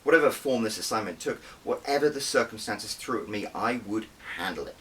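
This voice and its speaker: A man's voice: fairly low pitch, animated, fairly quick, a bit bright, far from the mic. A man reading his own novel as an audiobook, in dramatic, emphatic first-person narration.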